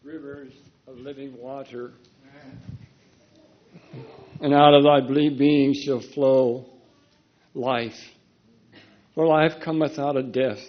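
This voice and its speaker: Slow deliberate man prophesying. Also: fairly even intonation. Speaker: prophetic speaker. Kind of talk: prophecy in archaic phrasing. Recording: dull low-fidelity recording.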